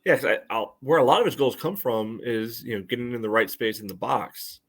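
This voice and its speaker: A man's voice: medium pitch, brisk. A man co-hosting a soccer podcast, giving casual, conversational match analysis with plenty of qualifications.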